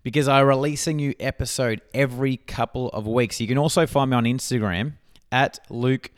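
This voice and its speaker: An Australian man speaks in a clear voice. He talks in a casual, chatty podcast style, informal and direct, like a coach addressing his listeners. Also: mid-range voice, moderate pace. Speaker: podcast host and sales coach.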